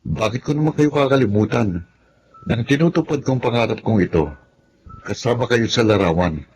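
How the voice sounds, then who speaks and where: An actor playing an earnest, preachy younger brother, a new graduate, in a Filipino radio drama. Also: male voice, mid-range voice, moderate pace, a little mellow, a little hiss or hum, natural voice, poor audio. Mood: earnest, hopeful.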